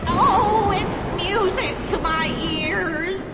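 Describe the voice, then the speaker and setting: A woman's voice: extremely high pitch, very slow, a loud crowd, full character voice. An actress playing a ghoulish vampiress in a campy horror comedy record, sweetly sinister, swooning and theatrical as the count's lady companion. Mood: wicked delight. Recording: muffled echoey old record, loud music behind.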